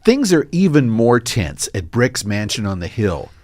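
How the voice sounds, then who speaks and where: A man's voice: mid-range voice, big pitch swings, measured pace, darkish tone, dry recording, studio quality. A man reading scripted narration as the narrator of a story.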